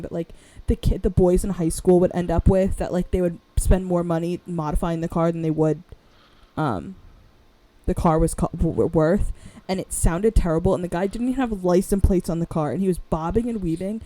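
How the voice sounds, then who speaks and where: A young woman with a raspy, mellow voice sits at the mic and rambles through a casual podcast chat. She sits a bit low in pitch and tells her stories in a chatty, animated flow. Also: a little fast, no echo. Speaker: podcast co-host.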